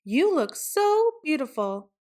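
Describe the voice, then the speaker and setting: A woman's voice: high voice, very animated, slow, darkish tone, pitched above the performer's own voice, exaggerated voice. A woman as a caring, insistent storybook mom. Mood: delighted. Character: caring but insistent, worried mother. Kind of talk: children's storybook read-aloud.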